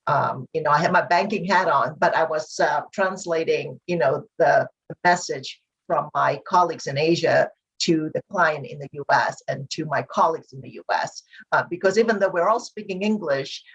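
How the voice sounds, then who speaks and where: A woman's voice: low-pitched, normal speed, slightly rough, muffled recording. A woman speaking as a podcast guest who once worked in international banking, readily agreeing and talking with frequent "um" and "you know". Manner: agreeable, affirming, many fillers like "um" and "you know".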